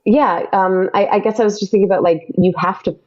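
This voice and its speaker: A woman's voice: mid-range voice, steady tone, fast talker, lo-fi sound. A woman guest in a casual podcast interview, chatty, with many fillers and restarts.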